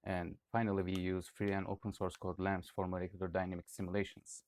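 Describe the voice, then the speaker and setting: A man's voice: low voice, completely flat delivery, fast, darkish tone, a little hiss or hum. A young man from Turkey reading out a student project presentation, with occasional hesitations. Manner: read-aloud explanation with occasional "uh" hesitations.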